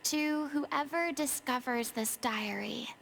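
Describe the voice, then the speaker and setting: A woman voicing a theatrical, commanding young woman investigator in a video game, speaking in an articulate, deliberate way. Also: sits high, creaky, a bit bright, slight background noise, full character voice. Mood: thoughtful, grave. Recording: game dialogue with music behind.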